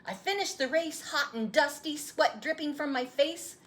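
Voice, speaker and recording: A woman's voice: high-pitched, normal speed, voice pushed up, close to an everyday voice. A woman voicing an eager, proud young boy with breathless, earnest emphasis in a storybook read-aloud.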